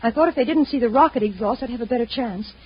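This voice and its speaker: A woman's voice: fairly high pitch, fast, breathy edge. An actress playing an anxious, earnest young woman in distress, pleading and hesitant, in 1950s radio drama style. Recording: muffled vintage radio recording.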